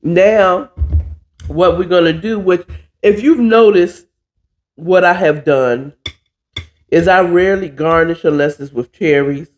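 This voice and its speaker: Hoarse, stuffy-voiced man chatting slowly. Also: high-pitched. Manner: chatty, drawling, says "child" a lot. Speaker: podcast host. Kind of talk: casual cooking chat.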